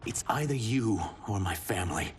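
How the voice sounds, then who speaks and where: A man's voice: lowish voice, lively intonation, normal speed, background noise, lightly acted. A man playing a sombre, resigned shapeshifting reptilian jailer in a cinematic video game, speaking tersely. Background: music.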